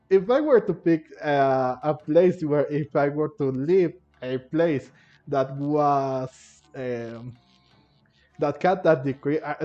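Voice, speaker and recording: Slow-talking man with a muffled, mellow voice. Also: on the high side. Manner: halting, repeats and rephrases himself. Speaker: gamer narrating a playthrough. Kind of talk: casual gameplay commentary. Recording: muffled lo-fi mic, game music behind. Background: video game music.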